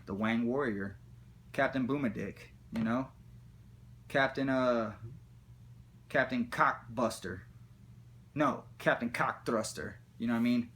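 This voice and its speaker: A man's voice: medium pitch, unhurried, pauses often, fairly bright tone, slight background noise. A man talking casually in a rambling, profane, slangy way, like someone spinning comic stories. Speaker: storyteller.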